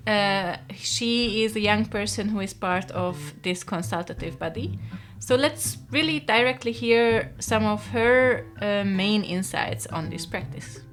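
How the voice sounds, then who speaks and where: Woman host asking questions, mid voice, kinda halting, hunting for words. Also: mid-range voice, music in the background. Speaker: podcast interviewer. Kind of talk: interview questions.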